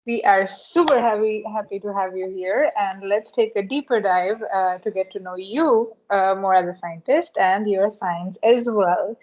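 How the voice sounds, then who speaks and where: A woman's voice: medium pitch, a little slow, few pauses, a little mellow. A woman hosting a podcast interview, friendly and encouraging as she introduces and questions her guest. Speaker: podcast host. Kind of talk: casual interview. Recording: dull lo-fi audio.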